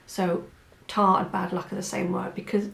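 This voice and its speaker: A woman who is hoarse from a cold, vlogging in a chatty, rambling way with many asides. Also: mid-range voice, little pitch movement, moderate pace. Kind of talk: casual chat. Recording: roomy echo with faint music.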